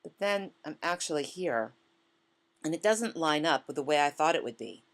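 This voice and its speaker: A woman reading the part of a quiet, melancholy male office worker in a short story, hesitant and musing. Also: sits low, animated, moderate pace, voice pushed up.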